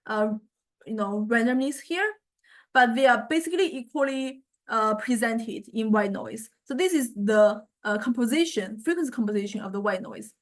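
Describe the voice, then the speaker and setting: A woman lecturer giving an informal software demonstration, explaining conversationally and often hesitating as she searches for words. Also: on the high side, lively intonation, normal speed. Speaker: university music technology lecturer.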